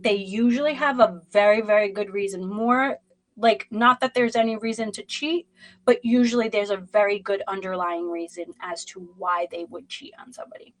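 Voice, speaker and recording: A woman with a mid-pitched voice reads cards for a live audience online. She is blunt and emphatic, speaking directly to the listener with a dramatic, informal delivery. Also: poor audio. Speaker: tarot reader hosting a livestream. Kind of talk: informal live zodiac card reading.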